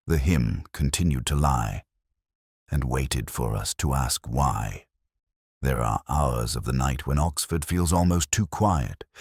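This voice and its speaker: Male narrator for a scripted mystery: very deep register, lively intonation, solemn and measured storytelling. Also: dry recording, studio quality. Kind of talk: scripted first-person audio mystery narration.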